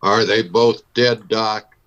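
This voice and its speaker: A low male voice, slightly dull in the recording, as a frontier marshal in a radio Western. He is steady and matter-of-fact. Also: takes it slowly. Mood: businesslike. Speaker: actor playing a marshal. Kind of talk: old-time Western radio acting. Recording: dull band-limited audio.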